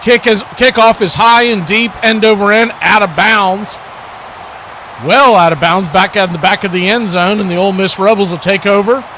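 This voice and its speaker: Male play-by-play streamer, high-pitched, recorded with noticeable vehicle-like noise behind. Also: pushed and bright. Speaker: live stream play-by-play host. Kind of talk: play-by-play sports commentary and chat.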